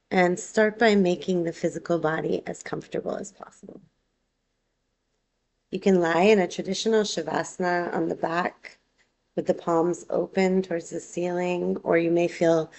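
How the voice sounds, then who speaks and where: A woman leads a guided relaxation as a yoga nidra teacher. Her voice sits fairly low and carries a strong creaky fry. She speaks very slowly and calmly, giving gentle instructions in unhurried phrases.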